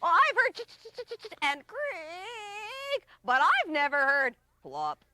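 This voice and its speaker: Squeaky excitable chipmunk. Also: female voice, slow, dry recording, full character voice. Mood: excited. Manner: stammering sound effects, shrill exclaiming. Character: excitable squeaky young chipmunk, bossy and brave.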